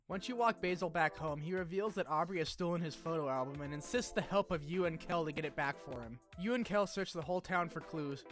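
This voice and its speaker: A man's voice: a little high, fairly bright tone. A man narrating a video essay in a casual, scripted recap style, with wry, slangy asides. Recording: music under the voice. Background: music.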